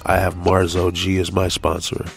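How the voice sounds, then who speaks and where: A man's voice: low-pitched, monotone, moderate pace, creaky, over music. A man hosting a casual talk show, stuffy from allergies and rambling with lots of fillers. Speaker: podcast host. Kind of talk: casual chat.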